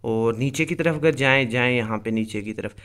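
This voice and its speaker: A man's voice: medium pitch, moderate pace, fairly bright tone. A man hosting a gaming tips video, explaining things step by step in a casual way and addressing viewers directly. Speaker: host of a mobile game tips channel. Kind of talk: casual tutorial commentary over gameplay.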